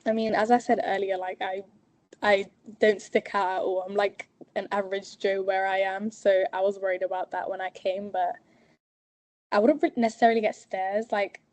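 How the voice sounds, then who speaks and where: A woman's voice: medium pitch, little pitch movement, moderate pace, darkish tone, dry recording. A young woman, a student abroad, talking casually with many fillers in a dry, close recording. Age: young adult. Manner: talkative, filler-heavy. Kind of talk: casual conversation.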